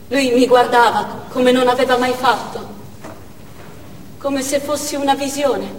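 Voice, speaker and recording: A woman's voice: on the high side, natural voice. Casting: actress for widowed Chechen woman, grieving and hollow, intense theatre monologue, heard roomy and far from the mic. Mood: anguished tenderness. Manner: intense first-person storytelling in short, broken phrases. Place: theatre stage, distant microphone. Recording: echoey theatre from far off, faint noise.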